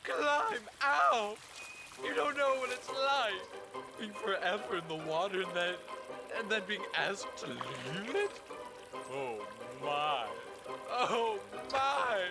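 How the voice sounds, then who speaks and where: Shrill, swooping, melodramatic otter. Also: male voice, lively intonation, slow pace, gravelly, loud music behind the voice, heavily acted. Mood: giddy dread. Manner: theatrical, repetitive, overwrought exclamations. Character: excitable, melodramatic, fretful male otter devoted to his stream. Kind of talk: children's animal audio drama.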